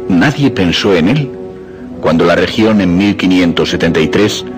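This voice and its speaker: Male Castilian Spanish narrator for radio suspense: very low, measured storytelling, music underneath. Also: lively intonation. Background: clear background music.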